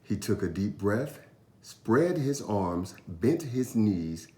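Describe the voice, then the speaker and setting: A man reading a children's story aloud with expressive, animated narration and drawn-out emphasis. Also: lowish voice, reverberant. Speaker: storytime reader.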